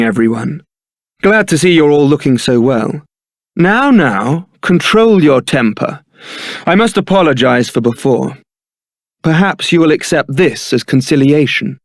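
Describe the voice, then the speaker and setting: Casting: a charming young British templar rogue for a fantasy game, suave, polite, teasing, recorded dry. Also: male voice, mid-range voice, no echo. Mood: cool, polite. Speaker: video game voice actor. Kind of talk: scripted video game character acting.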